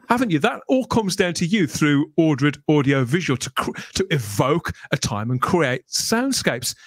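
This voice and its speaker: A man's voice: on the high side, no echo. A middle-aged man interviewing as a podcast host, talking discursively with frequent tag questions into a close desk microphone. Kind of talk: conversational interview. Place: small furnished room at home.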